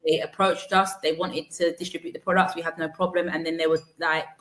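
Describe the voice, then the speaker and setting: A woman with a notably low voice talks frankly about her business as an interview guest. She speaks in long, steady runs, restarting phrases as she goes. The recording has a noticeable roomy echo. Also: fairly quick, a little hiss or hum. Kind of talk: conversational interview answers.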